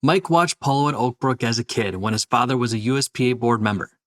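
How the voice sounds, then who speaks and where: A man's voice: medium pitch, fast talker. A man hosting an interview podcast, speaking as a presenter introducing and talking with a guest. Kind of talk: scripted introduction, then conversational interview.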